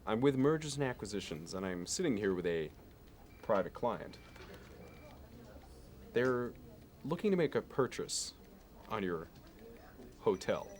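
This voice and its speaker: Low-voiced, polished Wall Street banker played by a man. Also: very animated, measured pace, long pauses. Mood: slick, courteous. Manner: polished, meticulous, salesman-like, condescending. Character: smooth, obsessive, status-minded male Wall Street banker. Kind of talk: ASMR roleplay monologue.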